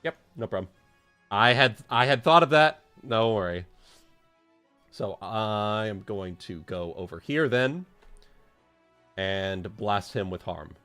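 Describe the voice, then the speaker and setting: Low-voiced man playing a tabletop game. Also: expressive, slow, pauses often, pushed and bright, clear, resonant tone, soft background music. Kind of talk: casual gaming chat, explaining rules and moves.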